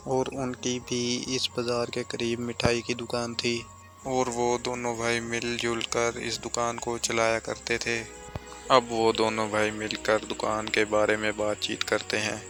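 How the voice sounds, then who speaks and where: Male storyteller for scripted cartoon narration, mid-pitched, extremely flat intonation, music behind.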